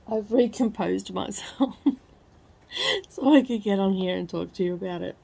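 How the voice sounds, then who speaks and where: A woman with a croaky voice from crying, talking softly at home in a casual book review vlog. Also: medium pitch, animated, slow. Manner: hushed, soft-spoken so as not to wake others. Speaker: book vlogger. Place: at home, early morning.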